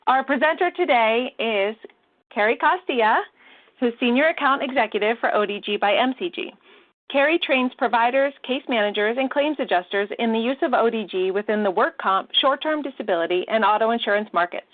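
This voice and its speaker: A woman's voice: fairly high pitch, lively intonation, brisk. Polite woman hosting a webinar, tinny line. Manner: polite, hesitant, with frequent fillers. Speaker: webinar host. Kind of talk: webinar introduction. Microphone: web call audio. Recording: tinny phone-like audio.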